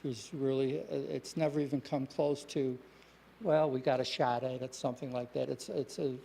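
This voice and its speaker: Gravelly man explaining at a meeting. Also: medium pitch, steady tone, dark tone. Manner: measured, explanatory, with fillers. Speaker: developer at a planning meeting. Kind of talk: public meeting testimony.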